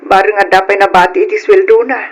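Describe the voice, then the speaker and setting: A woman's voice: mid-range voice, fast talker, lightly acted. A woman playing a worried, poor middle-aged provincial mother in an old Philippine radio drama. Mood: worried pleading.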